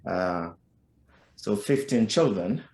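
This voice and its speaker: Slow, low-voiced Haitian man. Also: lively intonation, a little mellow, reverberant. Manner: slow, halting, many restarts and fillers. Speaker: podcast guest. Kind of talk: personal life story in conversation.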